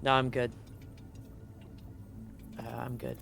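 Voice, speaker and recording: A man's voice: medium pitch, little pitch movement, slow, gravelly, fairly bright tone. A man narrating a live tabletop role-playing game as game master, explaining things conversationally. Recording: music playing behind the voice. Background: music.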